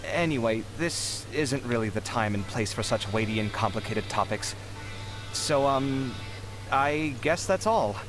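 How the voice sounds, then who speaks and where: A man's voice: medium pitch, noisy background, lightly acted. Man acting as a proud, earnest young scholar. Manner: earnest, somewhat defensive and resigned. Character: proud idealistic young male scholar, reluctant champion. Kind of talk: dramatic video game voice acting. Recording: game audio with music underneath.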